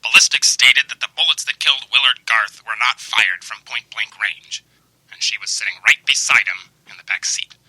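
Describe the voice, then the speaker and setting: An actor playing a blunt, businesslike police detective with a husky voice, in an old time radio mystery. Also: male voice, medium pitch, moderate pace, pushed and bright, dry recording, rough recording. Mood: matter-of-fact. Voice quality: husky, raspy.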